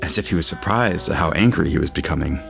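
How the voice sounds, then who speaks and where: A man with a low voice reading scripted literary fiction as a narrator. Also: fast talker, vocal fry. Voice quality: low-pitched. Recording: music under the voice. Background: guitar music.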